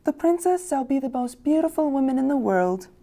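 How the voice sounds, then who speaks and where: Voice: high voice, lively intonation, a little fast, mellow, pitched above the performer's own voice. A storyteller voicing a wise, kindly young fairy woman in a formal, reassuring manner. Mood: gracious.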